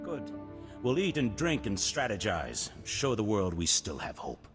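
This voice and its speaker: An actor playing a noble, resolute duke in a video game, husky, formal and stately in delivery. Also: male voice, on the low side, very animated, unhurried, a bit bright, exaggerated voice. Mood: firm, noble. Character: noble, resolute older duke, solemn war leader. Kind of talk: cinematic video game voice acting. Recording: long hall reverb, music behind.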